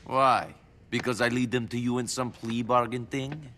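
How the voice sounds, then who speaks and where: An actor playing a weary, sardonic immigrant war veteran turned criminal, with an Eastern European accent and a terse, deadpan manner, in a video game. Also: male voice, mid-range voice, normal speed, close to an everyday voice. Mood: sardonic, resentful. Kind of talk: video game cutscene acting.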